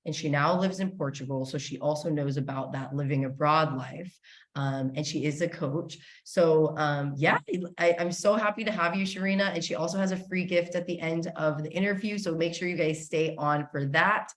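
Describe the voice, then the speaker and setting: A woman's voice: low-pitched, fairly even intonation, moderate pace. A woman hosting a live online summit in a chatty, gushing, informal way. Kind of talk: casual live interview hosting. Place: indoors, online video call.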